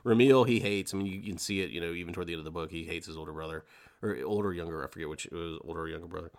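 A man with a fairly low voice talks very quickly in a casual podcast discussion. He is emphatic and slips into acting out what characters might be thinking. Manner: emphatic, acts out characters' thoughts. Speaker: podcast co-host.

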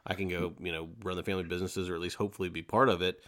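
A man with a fairly low voice talks very quickly in a casual podcast discussion. He is emphatic and slips into acting out what characters might be thinking. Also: little pitch movement, a bit bright. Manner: emphatic, acts out characters' thoughts. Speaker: podcast co-host.

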